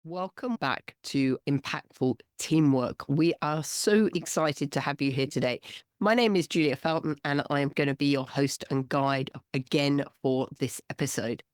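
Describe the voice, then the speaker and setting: Hoarse low-voiced woman podcast host. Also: moderate pace, darkish tone, no room sound, clean studio sound. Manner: earnest, explanatory. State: hoarse with a cold. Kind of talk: solo business podcast talk.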